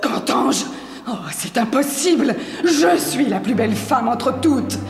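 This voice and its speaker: A woman playing a vain, cruel queen in an animated film, imperious and theatrically menacing. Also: fairly high pitch, slow, vocal fry, heavily acted. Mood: outraged. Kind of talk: French animated film voice acting. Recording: echoey reverberant hall, music behind.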